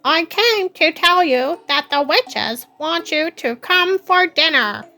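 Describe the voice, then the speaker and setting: A woman's voice: extremely high pitch, animated, slow, bright, forceful tone, faint music behind, pitched above the performer's own voice, exaggerated voice. A woman voicing a helpful, sensible male crow in a children's storybook, with an emphatic cawing delivery. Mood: reassuring.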